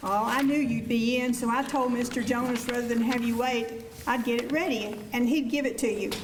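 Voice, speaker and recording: Woman as wide-eyed young western shop girl, high voice, coy and gushing, echoey auditorium. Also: steady tone, fairly quick, natural voice. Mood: sweet, chatty. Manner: gushing, coy, breathy exclamations. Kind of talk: western radio drama acting. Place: high school auditorium stage. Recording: echoey auditorium with faint hiss.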